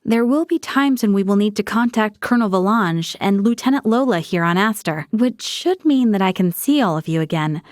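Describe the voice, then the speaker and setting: A woman's voice: medium pitch, lively intonation, moderate pace, hardly any pauses, dry recording, studio quality, natural voice. An actress voicing an earnest, dutiful young female military officer in a sci-fi video game, composed and articulate. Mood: earnest, concerned.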